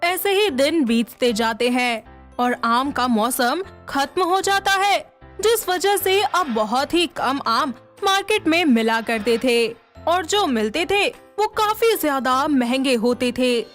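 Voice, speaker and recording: A woman's voice: high-pitched, animated, takes it slowly, fairly bright tone. A woman reading scripted narration for a moral bedtime story. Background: faint music.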